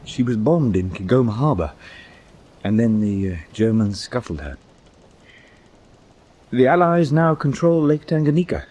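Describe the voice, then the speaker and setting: An actor playing a dutiful British naval officer in a radio drama, formal and deferential, with a husky voice. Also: male voice, mid-range voice, big pitch swings, measured pace, long pauses, dark tone. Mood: careful, deferential. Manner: stiff, deferential, hesitant.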